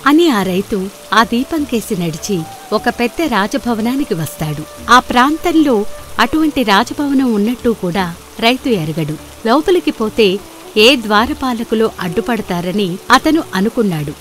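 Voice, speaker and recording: A woman's voice: fairly high pitch, lively intonation, a little slow, a bit bright. A woman narrating a scripted folktale as a storyteller. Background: background music.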